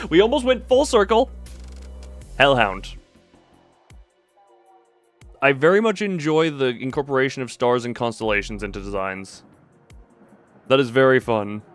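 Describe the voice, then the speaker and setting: Game streamer rambling away at chat, really lively pitch, bit of music under it. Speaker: livestream host. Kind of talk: casual game stream chat, reading dialogue aloud.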